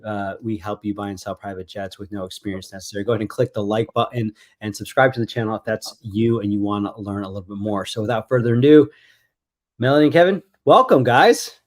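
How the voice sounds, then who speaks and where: A man's voice: on the low side, fast talker. A man hosting an interview show, speaking with enthusiasm and often repeating himself. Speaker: host.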